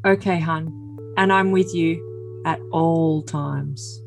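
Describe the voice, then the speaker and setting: A woman's voice: deep voice, fairly even intonation, takes it slowly, gravelly, faint music behind, full character voice. A woman playing a possessive, earnest young boyfriend with stilted endearments in a dramatic comedic reading of text messages. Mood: solemn, possessive.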